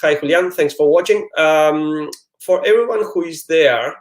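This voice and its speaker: A man's voice: fairly high pitch, measured pace. A man whose first language is Spanish, with a Spanish-speaking accent, hosting a live job-search Q&A stream in an earnest, hesitant way with many fillers and restarts. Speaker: job search Q&A host, IT recruiter.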